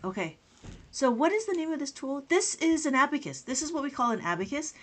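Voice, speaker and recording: A woman's voice: high-pitched, sing-song, fairly quick, fairly bright tone. A woman teaching abacus math on a live stream, chatting with viewers, greeting them by name and counting numbers aloud.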